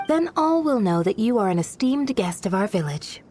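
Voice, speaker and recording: A woman playing a modest guardian of a desert village in a video game, speaking in a measured, polite and formal manner. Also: mid-range voice, big pitch swings, mellow, slight background noise, close to an everyday voice. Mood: composed, sincere. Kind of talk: video game character dialogue.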